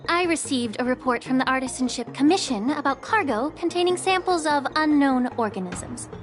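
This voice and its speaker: A woman playing a poised, slyly sarcastic head healer in a video game, speaking politely with cool, unhurried teasing. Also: sits high, animated, faint music behind. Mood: breezy, obliging.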